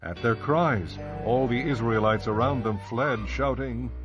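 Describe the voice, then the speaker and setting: A man narrating a dramatized Bible audiobook in a measured, solemn storytelling style. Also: mid-range voice. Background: faint music.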